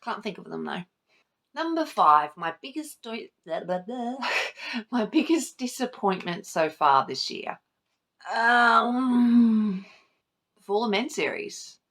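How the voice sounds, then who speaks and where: A woman's voice: on the high side, very animated, slow, a bit bright. A woman talking casually and informally as a book vlogger, rambling, interrupting herself and swearing lightly now and then. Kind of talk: casual chat to camera about books.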